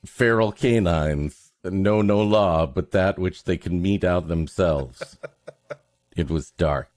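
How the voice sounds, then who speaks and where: A man's voice: low-pitched, takes it slowly, a little mellow, no echo, clean studio sound. A man hosting a comedy podcast, reading an old story aloud and breaking off for playful, joking banter.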